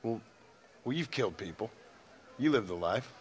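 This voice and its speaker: An actor playing a weary American ex-outlaw cowboy in a western video game, terse, with a folksy rural drawl. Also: male voice, on the low side, expressive, moderate pace, a little hiss or hum, natural voice. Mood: regretful.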